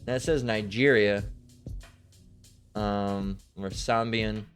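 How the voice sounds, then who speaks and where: A man reading verses aloud and commenting on them in a loose, profane way. He trips over hard words and thinks out loud while he searches for things. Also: fairly low pitch, fairly even intonation, slow, faint music behind. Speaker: online religious commentator. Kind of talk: casual scripture reading and commentary.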